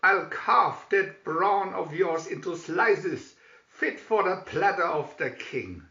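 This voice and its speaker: A man's voice: sits high, expressive, takes it slowly, bright, forceful tone, heavily acted. A man voicing a cocky outlaw hero in a storybook, brash, spirited and commanding. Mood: indignant. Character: bold, cocky young male outlaw hero. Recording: slightly dull recording in echoey room.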